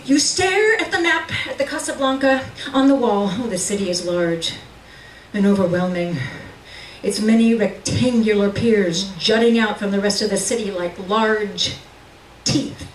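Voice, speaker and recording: A woman reading literary fiction aloud at a live reading, with measured, deliberate delivery and dramatic pauses. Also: mid-range voice. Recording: roomy, distant mic with faint noise.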